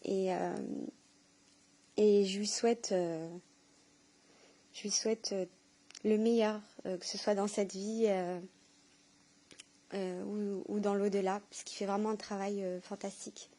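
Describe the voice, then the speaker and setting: A woman giving a spontaneous, heartfelt testimony, speaking haltingly and earnestly as she searches for her words. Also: medium pitch, level tone, warm tone. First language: French. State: emotional, tearful with joy. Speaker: person giving a personal testimony.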